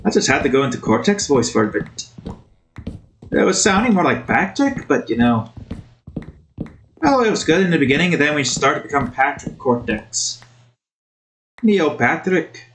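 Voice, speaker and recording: Male gaming host, highish animated voice, rambling in a shaky imitation Scottish accent, faint noise. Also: normal speed, long pauses. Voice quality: put-on, wavering Scottish accent. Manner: rambling, self-commenting. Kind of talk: casual game commentary.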